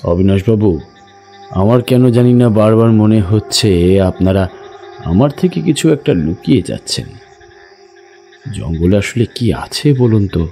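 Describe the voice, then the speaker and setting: A man's voice: lowish voice, dark tone. A man acting an uneasy village schoolmaster, a newcomer probing earnestly for answers in an audio horror story.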